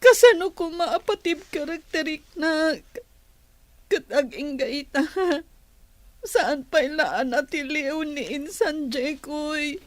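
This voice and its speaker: A woman voicing a distraught, pleading young village girl in a radio drama, with an Ilocano accent and a tearful, drawn-out delivery. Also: high voice, no room sound. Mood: despondent. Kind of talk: Filipino radio drama acting.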